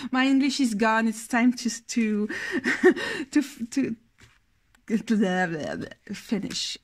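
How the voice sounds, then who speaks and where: A tired young woman with a Belgian French accent, a French speaker reviewing a tarot deck in English, hesitant and often searching for words. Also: medium pitch. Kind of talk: casual video review.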